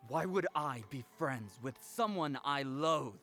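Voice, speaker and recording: A snooty icy guy in a visual novel, drawn out and theatrical, kinda high, music behind. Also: expressive, measured pace, sharp tone. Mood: cold contempt. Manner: haughty, theatrical, contemptuous. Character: cold, imperious young man full of contempt.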